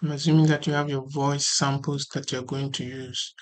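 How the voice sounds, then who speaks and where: A tutorial host explaining software step by step in an informal way, repeating points and often checking that the listener follows. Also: medium pitch, flat delivery, moderate pace. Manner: repetitive, often checking for understanding.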